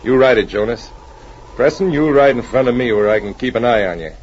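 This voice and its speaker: An actor playing a ruthless, swaggering outlaw gang leader in a 1940s radio adventure, bossy, with a rough folksy frontier way of talking. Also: male voice, mid-range voice, lively intonation, a little fast, close to an everyday voice. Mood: menacing. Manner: bossy, folksy frontier drawl with dropped grammar. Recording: muffled, noisy 1940s radio recording.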